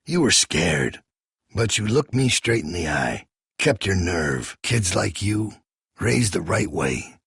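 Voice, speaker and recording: Gravelly, menacing American camp leader. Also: male voice, sits low, big pitch swings, a bit bright, no room sound, clean studio sound, exaggerated voice. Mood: quiet, persuasive. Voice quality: gravelly, raspy. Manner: folksy, slow-burning menace, preachy. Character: menacing male survivor-camp leader, calm, controlling, ruthless. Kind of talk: video game voice acting.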